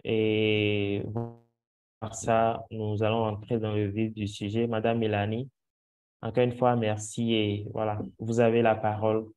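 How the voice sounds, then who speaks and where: A man's voice: lowish voice, monotone, measured pace, a little mellow, dull audio. A man formally welcoming students and a guest to an online masterclass, hesitant, with many fillers. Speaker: host, moderator. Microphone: video call.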